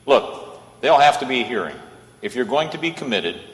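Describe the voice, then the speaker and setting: Casting: male actor as loyal, persuasive lawyer for radio play; mid-range male voice, lively inflection, echoey hall. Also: medium pitch, fairly quick, close to an everyday voice. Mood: urgent, earnest. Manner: earnest, persuasive. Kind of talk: old-time radio play acting. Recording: echoey hall with long reverb.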